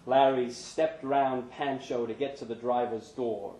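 A man's voice: medium pitch, steady tone, unhurried, mellow, a little hiss or hum. Man reading fiction aloud, echoey room. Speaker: reader of short fiction. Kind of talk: scripted narration, live story reading. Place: pub event, indoors.

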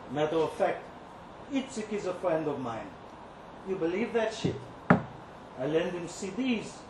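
A streetwise, lovelorn male kitchen worker, performed by a man tells his story in a slangy, cynical monologue. The pitch is high and very animated. It is a live reading, heard from a distance in an echoey, noisy room. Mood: boastful, brash. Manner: colloquial, slangy, conversational swagger with halting pauses. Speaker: actor reading a short story aloud. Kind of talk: dramatic first-person monologue reading. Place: live reading event in an indoor venue. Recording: roomy, noisy live event, distant mic.

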